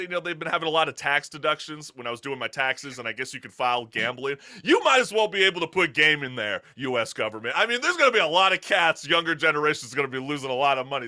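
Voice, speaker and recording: A young man hosting a casual talk show, chatting in an animated, slangy, joking way. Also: a little high.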